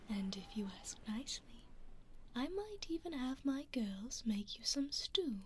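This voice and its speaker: A woman's voice: high voice, very animated, measured pace, slight background noise. A woman with a British accent voicing a genteel, coaxing female vampire in a whispered ASMR roleplay. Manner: whispered, coaxing, politely menacing.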